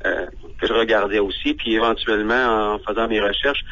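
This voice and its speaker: A man's voice: on the low side, fairly even intonation, brisk, bright, forceful tone, lo-fi sound. A man from Ontario, a guest on a radio phone interview who talks hesitantly, with frequent fillers.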